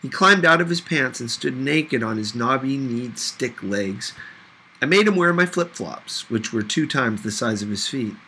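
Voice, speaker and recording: Expressive male audiobook reader, bright voice. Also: mid-range voice, a little slow, slight background noise, rough recording. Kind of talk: expressive scripted narration of a novel.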